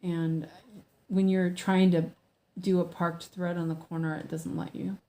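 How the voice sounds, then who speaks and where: Slow-talking hoarse woman stitching at home. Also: fairly low pitch, little pitch movement, dark tone. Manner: chatty, rambling, many ums and self-corrections. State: hoarse from allergies. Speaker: cross-stitch streamer. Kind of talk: casual stitch-along chat.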